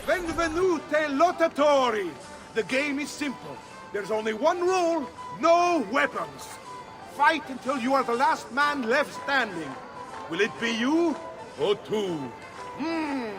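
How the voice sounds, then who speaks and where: An actor playing a flamboyant, grandiose carnival announcer with an Italian accent, proclaiming in a drawn-out, theatrical way for a game cutscene. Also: male voice, extremely high pitch, animated, exaggerated voice. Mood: goading. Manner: proclaiming, drawn-out showman delivery. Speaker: games announcer. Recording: loud music and crowd behind. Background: music and crowd.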